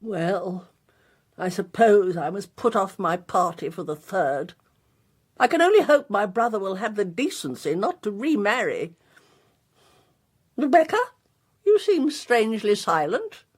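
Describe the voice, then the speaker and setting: An actress with an English accent playing a rich, imperious and mischievous older spinster aunt in a radio drama. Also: female voice, mid-range voice, big pitch swings, unhurried, pauses often, slightly rough. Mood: fussy, put-upon. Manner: grand, fussy, gleefully amused. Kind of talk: British radio drama acting.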